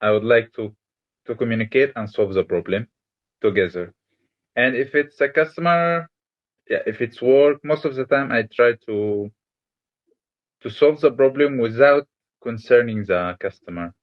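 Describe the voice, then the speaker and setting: A man's voice: mid-range voice, normal speed, long pauses. A man from Egypt, a native Arabic speaker with Malaysian-influenced English, answering interview questions casually. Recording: dull lo-fi sound.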